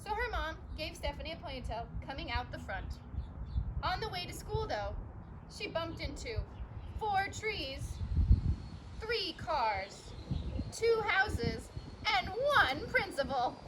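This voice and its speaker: High, animated woman reading to children. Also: slow pace, background noise. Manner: expressive, emphatic, speaking directly to young children. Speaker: teacher reading a children's picture book aloud. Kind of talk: animated storybook read-aloud with encouraging asides to children.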